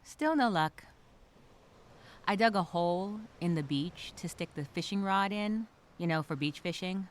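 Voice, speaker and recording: A woman playing a scared but determined castaway, speaking her survival journal as a halting, expressive dramatic monologue. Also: lowish voice, a little hiss or hum. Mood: hopeful, resolute.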